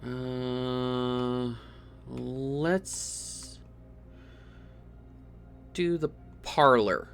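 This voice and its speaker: A man streams a video game in a medium-pitched voice. He comments casually on his choices and reads game text aloud as he goes. Faint music plays behind him. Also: extremely slow, creaky, pauses often. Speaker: gaming streamer.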